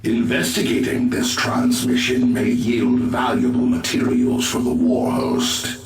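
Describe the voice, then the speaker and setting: An actor playing a stern, calculating alien war adviser in a game, formal and dramatic. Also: male voice, medium pitch, measured pace, natural voice. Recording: echoey, distant, faint music.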